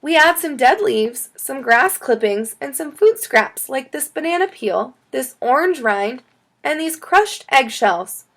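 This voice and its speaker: A woman playing a calm, instructive female elementary school teacher in a children's audiobook. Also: high voice, a little slow, voice pushed up, exaggerated voice.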